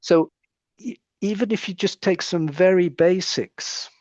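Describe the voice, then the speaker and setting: A man's voice: high-pitched, measured pace, a little mellow, no echo, highs cut off. A British man speaking as a psychiatrist guest in an interview conversation, explaining his points in a thoughtful way and often restarting his phrases.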